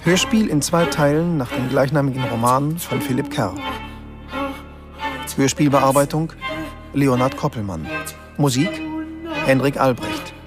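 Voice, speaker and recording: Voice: mid-range voice, a little slow, pauses often. An announcer reading scripted credits for a radio play. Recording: music under the voice. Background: music.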